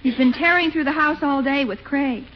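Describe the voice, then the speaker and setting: An actress playing an exasperated young niece in a 1940s radio comedy. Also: female voice, high voice, moderate pace, natural voice. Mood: preoccupied, exasperated. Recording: dull, noisy 1940s radio recording.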